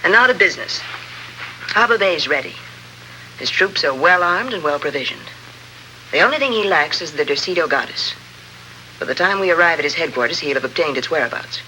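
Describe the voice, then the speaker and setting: An actress playing a composed, businesslike female archaeologist and plotter in 1950s radio drama, speaking formally and with measured care. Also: low voice, animated, bright, forceful tone, rough recording. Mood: guarded, composed. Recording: vintage 1950s radio recording, faint noise.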